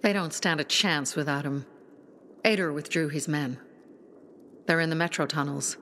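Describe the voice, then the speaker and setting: A woman's voice: low-pitched, normal speed, a bit bright, slight background noise. An actress playing a determined young woman who leads a resistance in a video game. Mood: grave, resolute. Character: determined young woman, resistance leader rallying her people.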